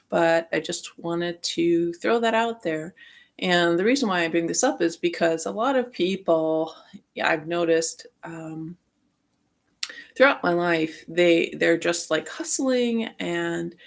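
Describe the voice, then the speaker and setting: Woman, deep gravelly register, hesitant and meandering personal reflection, unhurried vlog delivery. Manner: halting, trailing off mid-thought. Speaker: vlogger.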